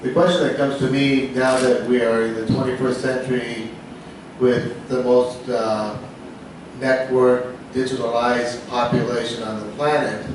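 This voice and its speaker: A man asks a formal question from the audience, phrasing it at length and with deliberation. He is far from the microphone in a heavily echoing lecture room, with clear noise behind him. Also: medium pitch, fairly even intonation, measured pace. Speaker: audience member asking a question. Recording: very echoey, distant, clear background noise.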